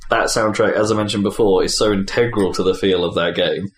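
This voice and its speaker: A man's voice: lowish voice, steady tone, fairly quick. A man hosting a podcast, chatting casually about video games in a conversational, hedging way. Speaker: podcast host. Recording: roomy echo, clear background noise.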